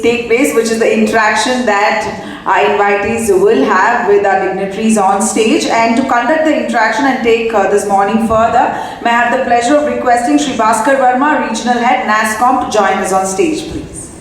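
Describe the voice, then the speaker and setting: A woman's voice: a little high, moderate pace, a little hiss or hum. A woman serving as emcee and making formal stage announcements. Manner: formal, ceremonious. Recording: echoey hall from far off.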